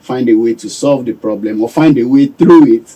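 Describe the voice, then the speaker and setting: A Nigerian man giving calm, measured answers as a guest in a radio studio. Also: medium pitch, distant mic. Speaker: business owner guest.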